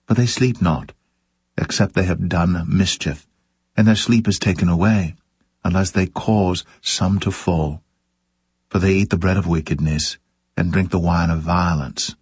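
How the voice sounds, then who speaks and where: A man's voice: deep voice, fairly even intonation, unhurried, a little mellow, husky, no room sound. A man reciting scripture in a solemn, scripted style. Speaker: scripture reader.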